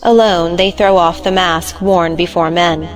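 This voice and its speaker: A woman's voice: lowish voice, steady tone, a little slow, soft background music, rough recording. A woman giving scripted narration, reading out the stage directions of a play.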